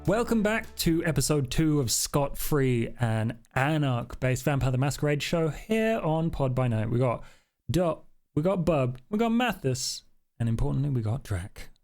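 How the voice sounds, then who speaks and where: A man's voice: medium pitch, sing-song, normal speed, a little mellow, faint music behind. A man hosting a podcast in casual, unscripted chat, with an enthusiastic, welcoming manner. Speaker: podcast host and game storyteller.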